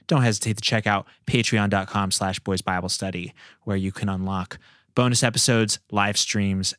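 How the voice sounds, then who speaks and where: A man with a fairly low voice hosts a casual podcast. His delivery is playful and joking, and the recording is close and dry with no room sound. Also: fairly bright tone, clean tone, dry recording. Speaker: podcast host.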